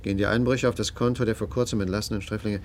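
An actor playing a skeptical, impatient police inspector in a German radio play, blunt and questioning. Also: male voice, on the low side, fairly even intonation, rapid-fire, slight background noise, close to an everyday voice. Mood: reading aloud.

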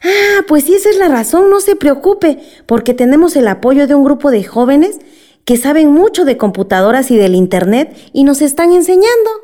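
A woman's voice: sits high, big pitch swings, moderate pace, warm tone. A woman playing a determined village councilwoman in a radio drama. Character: fed-up, determined village councilwoman demanding fair treatment.

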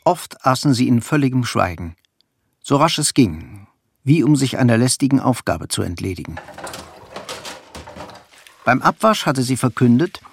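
A man giving measured, scripted literary narration for a radio drama. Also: mid-range voice, sing-song, creaky, breathy edge, no room sound. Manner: measured, storytelling. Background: faint music.

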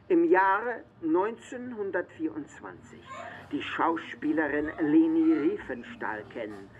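A man in a radio play acting an officious, smoothly controlling male interviewer of the Nazi era. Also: on the high side.

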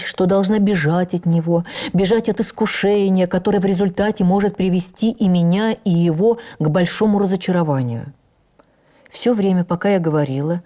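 A woman playing an actress who reverently recalls her life, in an expressive, measured dramatic narration for radio. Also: on the low side. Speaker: actress reading memoirs on radio. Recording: radio broadcast with faint music.

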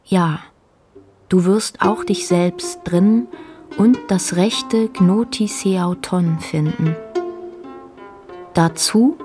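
An actress playing a solemn, contemplative woman in a poetic radio play, reciting in a measured, meditative manner. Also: on the low side, music in the background. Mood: solemn, instructive.

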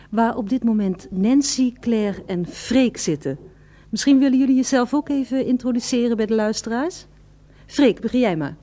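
A woman presenting a radio comedy show in a brisk announcing style. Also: medium pitch, warm tone.

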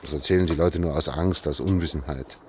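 A deep-voiced middle-aged man, an anxious engineer guiding an escape in a radio play. He talks in hushed, halting, urgent phrases, with faint noise on the recording. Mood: weary, soothing. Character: anxious male engineer leading an escape through sewers. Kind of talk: German radio drama acting.

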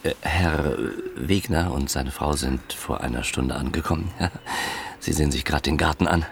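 A man's voice: very deep voice, a little slow, creaky, slight background noise, exaggerated voice. An actor playing a polite, eager and slightly flustered male organiser in a radio drama, deferential and hesitant. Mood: flustered, apologetic. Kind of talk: German radio drama acting.

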